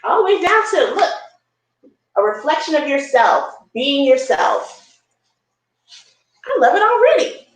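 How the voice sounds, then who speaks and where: A woman hosting a children's story time, reading a picture book aloud with expressive, encouraging asides and simple explanations. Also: sits high, unhurried, pauses often, reverberant, low-quality recording.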